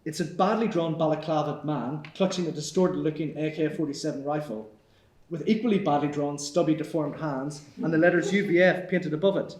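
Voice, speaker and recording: A roomy theatre recording of a man. He narrates stage directions from a screenplay. His voice is a little higher than most men's. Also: brisk, darkish tone. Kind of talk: scripted narration at live table read.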